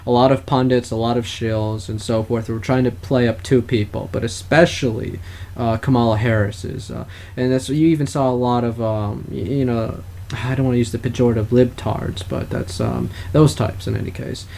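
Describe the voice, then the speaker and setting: A man co-hosting a casual political talk podcast, speaking in a halting way and often correcting himself. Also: mid-range voice, fairly even intonation, mellow. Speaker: podcast co-host. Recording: clear background noise.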